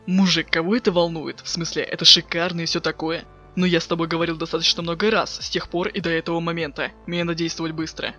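Fast-talking, flippant teenage cool guy played by a man. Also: on the high side, level tone, pushed and bright, soft background music. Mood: offhand. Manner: flippant, sardonic, slangy. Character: cool, impatient, foul-mouthed teenage boy from the future. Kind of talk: dramatic reading of a webcomic chat.

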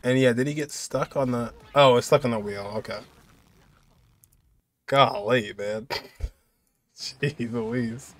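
A young man giving casual, excited reaction commentary with exclamations and slang. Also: mid-range voice, slow pace, pauses often. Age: young adult. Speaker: game reaction video host.

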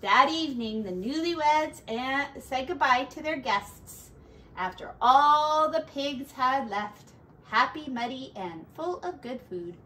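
A middle-aged woman reading a picture book aloud to children like a teacher, with deliberate, drawn-out words and little asides. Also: high voice, expressive, sharp tone. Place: small furnished room at home.

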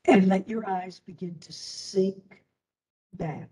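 A woman teacher leading a guided meditation in a soothing, deliberate way, leaving long pauses. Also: medium pitch, warm tone. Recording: distant microphone.

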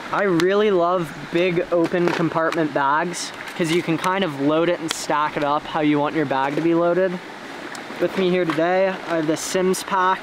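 A young man showing his fishing gear outdoors by a creek, talking in a relaxed, enthusiastic way with casual slang. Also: a little high. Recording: creek water noise behind.

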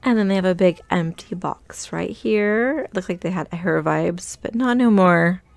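A woman narrates her store walk-through in a relaxed, upbeat chat, pointing out items and prices. Her voice sits a little low and has a gravelly, fry-laden edge. It sounds picked up on a handheld phone inside a big shop. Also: normal speed, no room sound. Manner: chatty, upbeat, reads out prices. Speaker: shopping vlogger. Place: inside a large retail store.

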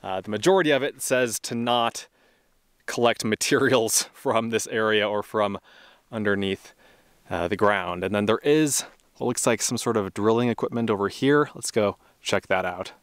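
A man's voice: lowish voice, moderate pace, clear, resonant tone, dry recording, studio quality. A young adult man narrating a casual outdoor travel vlog, easygoing and thinking aloud, with small laughs as he goes. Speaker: travel vlogger. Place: outdoors at a remote test site.

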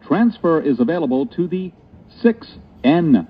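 A man's voice: fairly high pitch, very animated, unhurried, husky. A man reading scripted subway announcements as a transit announcer. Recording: dull, lo-fi audio.

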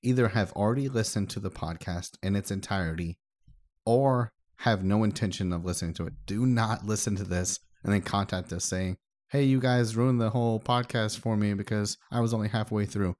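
Male co-host, low voice, casual conversational podcast delivery, dry sound. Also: moderate pace, darkish tone, dry recording.

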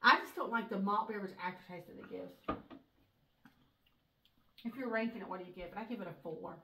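A woman's voice: mid-range voice, brisk, noisy background. A woman in her forties with a Southern US accent, chatting casually as co-host of a beer review. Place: small indoor room, seated at a table. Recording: roomy echo, distant mic.

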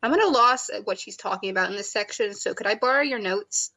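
A woman's voice: medium pitch, fast talker, bright, forceful tone, poor audio. A woman reading a visual novel's inner monologue aloud in a wry, chatty way. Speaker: game narrator and player.

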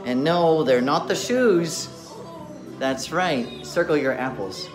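A middle-aged man speaks as an encouraging children's English teacher, walking students through worksheets. He takes his time and spells things out carefully, slightly muffled behind a mask. He is recorded on a handheld camera in a classroom, with faint music behind him. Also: fairly high pitch. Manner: encouraging, slow deliberate enunciation for children. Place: indoor classroom. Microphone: phone or camera at arm's length.

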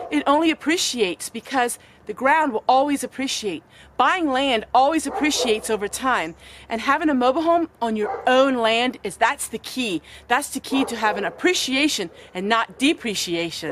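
A middle-aged woman with a fairly high voice speaks to her camera outdoors. She explains things in a casual, earnest vlog style, leaning on key phrases and repeating them for emphasis. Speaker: homesteading vlogger and host. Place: outdoors on a deck and yard of a rural home.

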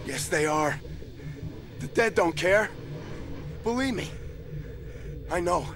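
An actor playing a weary, hardened criminal in a video game cutscene, terse and urgently persuading, with music behind him. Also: male voice, high voice, lively intonation, takes it slowly, background noise, full character voice. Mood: weary pleading. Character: weary, hardened male criminal, grimly insistent father.

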